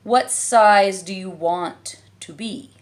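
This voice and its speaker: A woman voicing a slow, curt and contrary caterpillar in a storybook reading. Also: medium pitch, close to an everyday voice.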